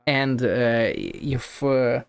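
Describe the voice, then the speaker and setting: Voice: medium pitch, extremely slow, gravelly, clean tone. A game streamer giving casual play-along commentary, muttering, thinking aloud and swearing now and then. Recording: game music behind voice. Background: video game music.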